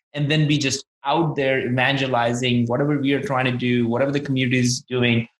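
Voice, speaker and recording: A man's voice: medium pitch, fairly bright tone. A man talking casually and effusively as a podcast host being interviewed, in an informal conversational way. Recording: roomy, echoey sound.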